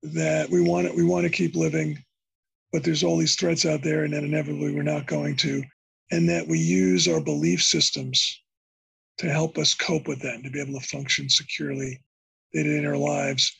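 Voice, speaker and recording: An older man talks as a podcast guest in a gravelly, rough-edged voice. His tone is somewhat high for a man and very husky. He explains his ideas in a meandering way and keeps circling back to restart a phrase. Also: moderate pace, a bit bright. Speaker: interview guest, psychology professor. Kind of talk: conversational podcast interview.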